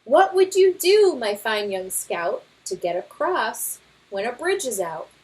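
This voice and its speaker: A woman reads as a stubborn, boastful father bear in a children's storybook. The delivery is pompous and singsong, with big swings in pitch. Words are stretched out slowly for emphasis. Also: very animated, rough recording. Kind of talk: children's picture book read-aloud.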